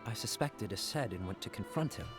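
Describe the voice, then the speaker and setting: A man's voice: on the low side, animated, a little fast, music in the background, natural voice. A man playing an earnest, troubled young warrior in a dramatic game cutscene, brooding and intense. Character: earnest, troubled young male keyblade leader.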